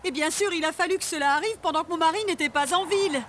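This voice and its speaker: A woman's voice: extremely high pitch, fast, slight background noise. A woman playing a flustered, worried ski lodge manageress in a plaintive, dramatic way for a storybook dub. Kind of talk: storybook read-along dub.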